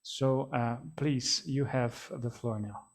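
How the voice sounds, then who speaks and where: A man moderating an online panel discussion, polite and measured, with frequent fillers. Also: mid-range voice, fairly even intonation. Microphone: video call.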